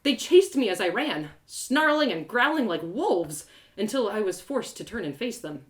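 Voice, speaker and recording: A woman reading a first-person story aloud with expressive, playful, dramatic delivery. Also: medium pitch, normal speed. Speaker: author reading a story live.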